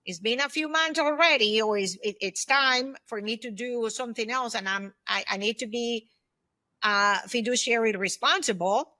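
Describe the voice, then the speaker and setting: Bright, higher-pitched woman giving practical advice. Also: no room sound. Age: 50s. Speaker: podcast guest, former aerospace executive. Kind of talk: conversational interview, giving advice.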